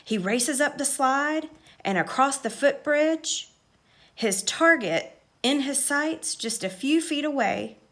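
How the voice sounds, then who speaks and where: A woman's voice: high voice, animated, slow pace, fairly bright tone, pitched above the performer's own voice, exaggerated voice. A woman voicing an earnest young boy who talks like a solemn, pompous sports commentator in a storybook. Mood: dramatic.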